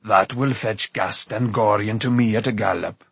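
A man voicing a huge, hearty, blustering warrior king, husky and bellowing, in a storybook reading. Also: fairly low pitch, steady tone, dry recording. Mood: boisterous. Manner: blustering, drawn-out delivery.

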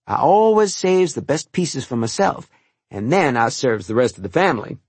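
A man's voice: mid-range voice, very animated, normal speed, husky, dry recording, pitched above the performer's own voice. A man voicing a cheerful, teasing old woman who works as the family cook, speaking in folksy rural dialect. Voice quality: rural dialect grammar, chuckling warmth.

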